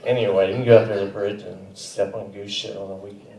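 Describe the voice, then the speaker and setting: A young man from Arkansas with a Southern accent, doing a rambling, deadpan stand-up set in a comedy theater. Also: on the low side, brisk, vocal fry, noisy background. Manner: laid-back, rambling, deadpan with self-corrections. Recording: roomy theater mic from a distance.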